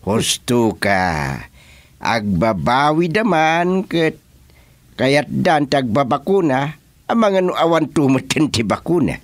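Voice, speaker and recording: An actor playing an animated, teasing village man with an Ilocano accent in a comedy radio drama, given to exclamations. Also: medium pitch, slow pace, dry recording. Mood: mocking, amused.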